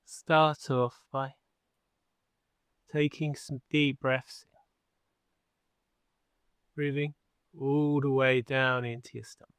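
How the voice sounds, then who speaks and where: Male hypnotherapist for guided sleep session: smooth, clean tone, soothing and unhurried, dry recording. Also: medium pitch, little pitch movement, fairly bright tone, dry recording. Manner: slow, soothing, measured with long pauses.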